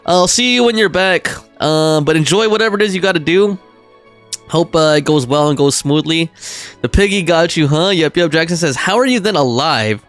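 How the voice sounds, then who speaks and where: A man's voice: on the high side, expressive, normal speed, fairly bright tone, soft background music. A man livestreaming a game, chatting casually with viewers and reading their messages aloud.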